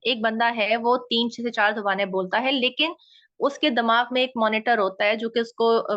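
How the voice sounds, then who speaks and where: A woman's voice: on the high side, fairly bright tone. A woman with a Pakistani Urdu accent, a linguistics researcher explaining concepts and often checking in with "okay?". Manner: frequent "okay?" checks, mixing in English phrases. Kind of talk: explanatory talk. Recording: dull lo-fi audio.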